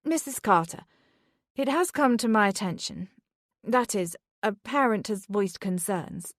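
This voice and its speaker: A woman's voice: medium pitch, expressive, a little slow, no room sound, studio quality. A woman reading the part of a nervous, hesitant middle-aged headmistress in an audiobook. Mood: flustered.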